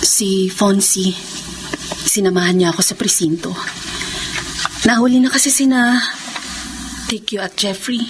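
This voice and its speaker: An actress playing a protective, vengeful older sister in an emotional, emphatic radio melodrama style. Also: female voice, medium pitch, steady tone, measured pace, pauses often, background noise, close to an everyday voice. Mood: protective, consoling. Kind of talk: Filipino radio melodrama acting.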